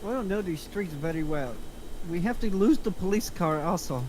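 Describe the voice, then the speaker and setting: A man's voice: sits high, expressive, moderate pace, a little mellow, slight background noise, poor audio. A man reading the part of a blunt, trigger-happy gangster sidekick in a screenplay table read. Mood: annoyed.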